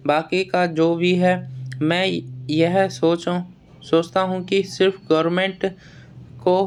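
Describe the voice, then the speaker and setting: High-pitched, smooth-voiced man. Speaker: exam news vlogger advising job aspirants. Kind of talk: informal explanatory update addressed to students.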